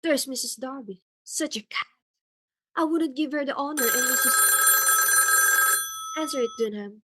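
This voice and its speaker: A woman's voice: high-pitched, moderate pace, heavy background noise, lightly acted. A woman playing a haughty, fretful society hostess in a stage comedy, exclaiming imperiously and melodramatically. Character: haughty, fretful society matron hosting a dinner party. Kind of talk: theatrical stage comedy acting.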